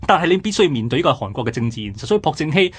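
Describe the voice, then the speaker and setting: A man with a Hong Kong Cantonese accent talks at a very rapid clip in a rough-edged, husky voice. He sounds like a radio host explaining history conversationally, often posing questions and answering them himself. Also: on the high side, big pitch swings. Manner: explanatory, peppered with rhetorical questions.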